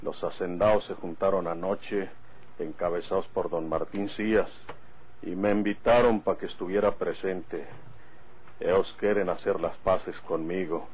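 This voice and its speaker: An actor playing a brooding, insistent bandit with a rural northern Mexican accent in an old radio drama. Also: male voice, low voice, steady tone, a little slow, a little mellow, slight background noise, low-quality recording. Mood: solemn. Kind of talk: golden-age radio drama acting.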